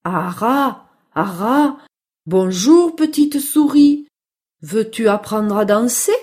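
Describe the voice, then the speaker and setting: A chatty, friendly storybook parrot, voiced by a woman. He squawks his hellos and talks in an unhurried way, his pitch bouncing up and down. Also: lively intonation, darkish tone. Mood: friendly greeting. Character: friendly male parrot from Rio, squawking, fond of dancing.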